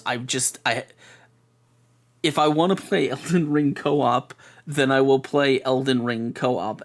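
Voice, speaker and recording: A man chatting casually on a live stream, rambling through restarts and fillers, with a voice that is going hoarse. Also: mid-range voice. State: losing his voice.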